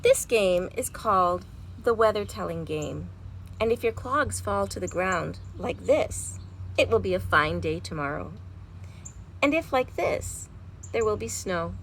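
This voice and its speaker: A woman reading a children's storybook aloud in an expressive, animated way with dramatic pauses. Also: medium pitch. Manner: animated, dramatic pauses, chanting sound words. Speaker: librarian reading picture books at storytime. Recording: noisy home recording.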